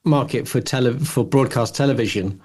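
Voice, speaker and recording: British male, middle-aged, podcast co-host, loose rambling conversational delivery with mid pitch. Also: brisk, darkish tone, slightly rough. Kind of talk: casual chat about television.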